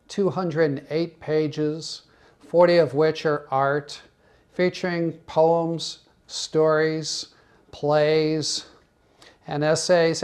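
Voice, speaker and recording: A man's voice: on the high side, a little mellow. An American man giving an informal promotional talk as a college magazine adviser, with wry, joking asides.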